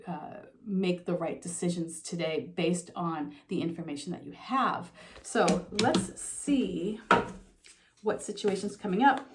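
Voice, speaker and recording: A middle-aged woman giving a relaxed, talking tarot reading to her viewers, her voice a little froggy from a slight sore throat, with frequent ums and you-knows. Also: lowish voice, slight background noise. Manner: soft conversational, with frequent um and you know. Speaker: tarot reader.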